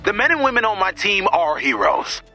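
Exasperated team leader, very bright voice. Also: male voice, high voice, wildly swooping pitch, a little fast, faint music behind, heavily acted. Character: exasperated, no-nonsense heroic male team leader. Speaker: mission commander. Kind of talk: video game mission dialogue.

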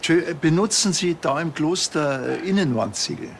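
Sardonic policeman, gravelly, laconic, slightly high pitch, TV crime comedy. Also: moderate pace, slight background noise. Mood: dry, matter-of-fact. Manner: deadpan, laconic. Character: dry, sardonic Bavarian policeman, middle-aged man.

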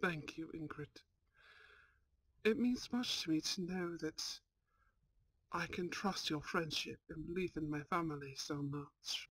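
Woman playing a slow-spoken, haughty young lord. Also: deep voice, dry recording, rough recording. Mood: grateful. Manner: formal, self-assured, teasing. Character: suave, haughty young male lord, kind at heart. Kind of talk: ASMR audio roleplay.

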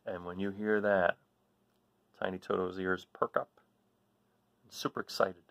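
A man's voice: sits low, fairly even intonation, creaky, fairly bright tone, no echo. A man in his forties explaining a children's book as a read-aloud host, often correcting himself. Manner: halting, self-correcting. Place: small room at home, indoors. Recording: dull lo-fi device microphone.

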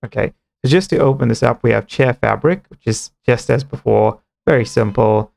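Man in his thirties, gravelly fry, mid-pitched, informal tutorial presenter, thinking aloud, close headset mic. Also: normal speed, a little mellow. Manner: step-by-step explaining, often starting with okay and cool.

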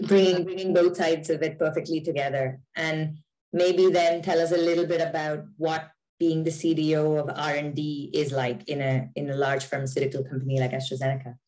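A woman's voice: low-pitched, flat delivery, a little fast, darkish tone, low-quality recording. A woman in her thirties hosting a webinar interview over a video call, asking questions in a friendly way with fillers. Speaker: webinar host, general manager.

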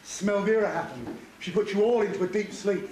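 A man acts a pantomime rescuer with a high, animated male voice. He is firm and reassuring. The hall echoes and the tape is noisy. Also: a little fast. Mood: firm reassurance. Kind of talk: amateur pantomime stage acting. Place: stage in a hall, recorded from afar.

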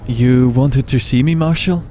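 An actor playing a defiant, sardonic starship commander, blunt and sarcastic, in a science fiction audio drama. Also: male voice, mid-range voice, a little slow, mellow, slight background noise, lightly acted. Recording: echoey, reverberant room.

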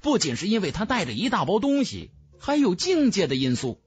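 A man's voice: high voice, huge pitch swings, fairly quick, husky. A man narrating a fantasy audiobook in a dramatic, scripted storytelling style.

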